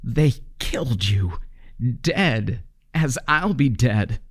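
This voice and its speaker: A man playing a brooding, domineering chemist haunted by guilt, speaking deliberately and repetitively in an old-time radio horror drama. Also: mid-range voice, lively intonation, breathy edge, dry recording, clean studio sound.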